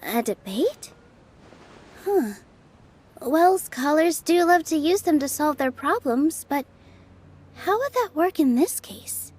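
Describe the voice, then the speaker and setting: A woman voicing a gentle, earnest young dancer in an anime game, thoughtful and soft in manner. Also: high-pitched, big pitch swings, slow, pauses often, slight background noise, exaggerated voice. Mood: pondering.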